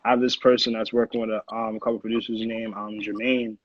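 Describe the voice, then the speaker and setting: A man's voice: on the low side, little pitch movement, fairly quick, no echo, highs cut off. A man in his early twenties with an American accent, chatting casually and in slang as an interview guest. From Connecticut, USA. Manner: slangy, informal. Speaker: music producer, interview guest. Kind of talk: casual chat.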